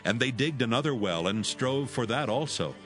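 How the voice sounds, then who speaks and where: A man narrating scripture from a script in a formal, measured manner. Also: mid-range voice, lively intonation, a bit bright. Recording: music behind. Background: music.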